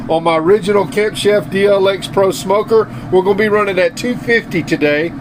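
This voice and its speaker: Man, Southern American accent, easygoing cooking host, fairly high-pitched, roomy echoey sound. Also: noisy background. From Southern United States. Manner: folksy, easygoing, talks straight to viewers. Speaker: barbecue channel host. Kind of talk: casual cooking tutorial. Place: home kitchen, then outdoor grill area.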